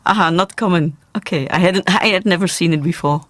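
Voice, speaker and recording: A man's voice: sits high, fairly quick, a bit bright, dry recording. A Belgian man whose first language is Dutch, hosting a radio interview with curious, informal questions. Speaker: radio host.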